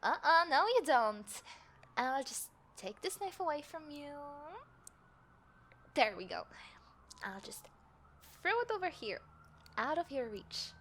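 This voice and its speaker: A woman acts out a smug, predatory female serial killer in an audio drama. Her voice is fairly high and slow, teasing her prey with theatrical, deliberate phrasing that dips toward a whisper. Faint city ambience and noise sit behind her. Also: big pitch swings, fairly bright tone, full character voice. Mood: smug, menacing. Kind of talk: scripted audio roleplay addressed to the listener. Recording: clear background noise, city ambience effects.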